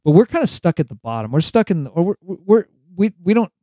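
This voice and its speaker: A man's voice: high voice, big pitch swings, fairly quick, dark tone, no echo. A man hosting a podcast in a casual interview, rambling along with frequent restarts and chuckles. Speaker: podcast host.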